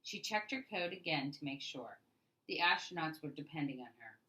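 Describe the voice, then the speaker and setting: A woman reading a children's picture book aloud as a storyteller, with expressive, emphatic delivery and deliberate phrasing. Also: deep voice, rough recording.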